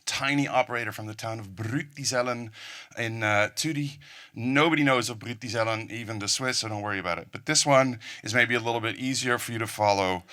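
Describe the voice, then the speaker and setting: A man's voice: mid-range voice, a little fast, pushed and bright. Dutch man with an American-sounding English accent. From Netherlands. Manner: self-deprecating, joking asides. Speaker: conference speaker. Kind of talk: technical conference talk. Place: conference hall stage.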